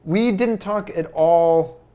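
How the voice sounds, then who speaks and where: Casual male podcast host, slightly higher voice. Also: takes it slowly, mellow, clear, resonant tone, dull audio. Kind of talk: casual chat.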